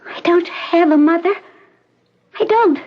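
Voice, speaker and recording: An actress playing a fragile, frightened young woman who speaks in a high, plaintive, pleading voice. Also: measured pace, muffled recording.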